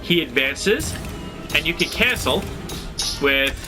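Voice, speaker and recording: A man's voice: on the high side, expressive, slow, music in the background. A man with a slightly stuffy nose, hosting a tutorial and talking through fighting game moves in a casual, analytical way. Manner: analytical, conversational, thinking aloud. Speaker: video game guide host. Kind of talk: gameplay tutorial commentary.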